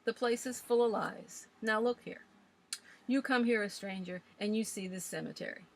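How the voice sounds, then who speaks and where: A woman's voice: mid-range voice, moderate pace, gravelly, poor audio. A woman playing a blustering scornful old rustic man. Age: older. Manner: loud, blustering, rustic grammar.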